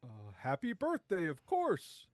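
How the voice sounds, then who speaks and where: A man's voice: high voice, huge pitch swings, slow, no echo, soft background music, full character voice. A man playing an irritable fake department-store Santa in a live comedy table read, jolly on the surface and snappish underneath. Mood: jovial, forced.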